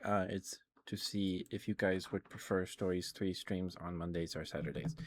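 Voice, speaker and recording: A man with a fairly low voice talks as a streamer during a casual ASMR session. He rambles informally, thinking out loud with hesitant, halting phrasing. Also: fairly even intonation, slight background noise.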